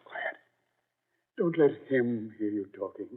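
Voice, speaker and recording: An actor playing a secretive, irritable doctor in an old-time radio drama, conspiratorial and testy, with formal wording. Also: male voice, fairly low pitch, lively intonation, takes it slowly, slightly rough, heavily acted. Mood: hushed, wary. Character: secretive, irritable male country doctor, long in hiding. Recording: muffled, narrow-band old radio recording.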